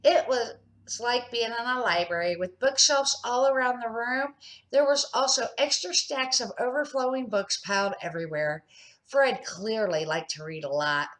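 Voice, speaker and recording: A woman's voice: a little high, normal speed, fairly bright tone, rough recording. An older woman, a grandmother, reading a children's storybook aloud as an amateur narrator, with expression and the odd small stumble.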